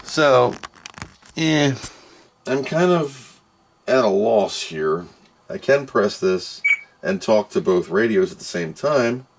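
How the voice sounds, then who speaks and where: Guy w a lowish voice doing an unscripted gadget review, kinda grumbly, just thinking out loud. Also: lively intonation, slow, pauses often. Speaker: host of a product test video. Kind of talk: casual unscripted product review.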